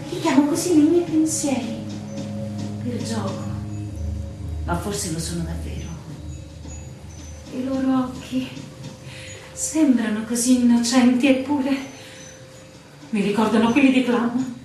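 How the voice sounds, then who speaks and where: A woman's voice: a little high, slow, long pauses. An anguished lover in a radio drama, fearful and devoted. Her plaintive, impassioned voice sits back from the microphone in heavy echo, with music playing behind. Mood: anxious. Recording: echoey, distant, music behind.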